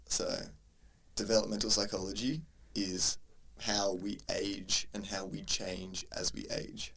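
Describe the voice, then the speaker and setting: Low, gravelly Australian man in his twenties. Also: level tone, unhurried, fairly bright tone. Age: 20s. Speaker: podcast co-host, university tutor. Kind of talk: casual conversational podcast. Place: university room.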